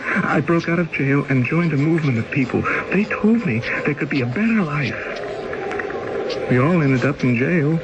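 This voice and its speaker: A man's voice: a little high, expressive, normal speed, dull audio, heavy background noise. An actor playing a desperate, naive young exiled convict in an old-time radio drama, earnest and faltering in his delivery. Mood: anguished. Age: young adult.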